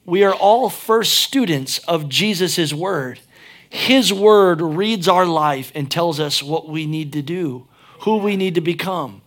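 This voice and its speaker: A man's voice: fairly high pitch, slow, a bit bright. A pastor preaching a sermon, chatting and joking, then driving his points home with emphatic peaks.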